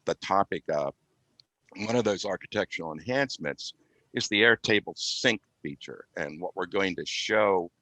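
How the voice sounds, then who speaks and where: Male podcast guest, deep register, measured technical explainer with halting delivery, very little room sound. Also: expressive, sharp tone, no echo. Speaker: IT specialist and consultant, guest. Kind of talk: explanatory product talk on a podcast.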